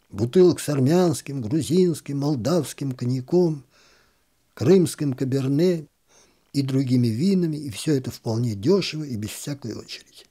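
A man's voice: mid-range voice, warm tone, husky. A man narrating a literary short story as an audiobook reader.

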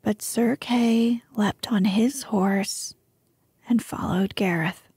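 A woman narrates an old tale in a soft, breathy whisper, very close to the microphone. Her tone is mellow and her pace slow. The sound is dry and intimate. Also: medium pitch, steady tone, dry recording. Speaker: storyteller. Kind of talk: whispered ASMR story reading.

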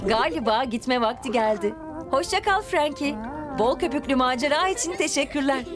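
A woman narrates a children's cartoon in a high voice. She is expressive and addresses both the characters and young viewers. Music plays steadily behind her. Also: moderate pace.

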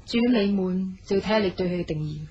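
A woman's voice: mid-range voice, quick pace, dark tone, lo-fi sound, slight background noise, natural voice. An actress playing a guarded, bitter young mother in a radio drama, speaking coolly and curtly. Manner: cool, guarded and curt.

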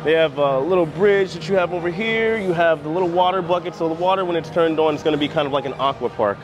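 An American man in his thirties with a slightly high voice talks casually as a travel vlogger. He gives a running tour commentary as he walks around, holding the camera at arm's length. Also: quick pace, music in the background, poor audio. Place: walking around a cruise ship, decks and indoor areas. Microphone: handheld camera at arm's length.

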